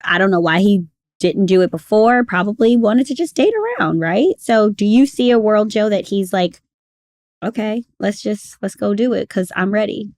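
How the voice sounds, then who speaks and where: A woman's voice: medium pitch, moderate pace, darkish tone, dry recording. A woman co-hosting a casual podcast, playful and teasing, acting out little quotes. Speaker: podcast co-host.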